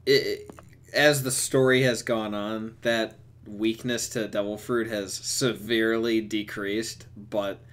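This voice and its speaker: A man's voice: on the low side, little pitch movement, slow, a bit bright. A man hosting a casual fan discussion, informal and agreeable with some teasing.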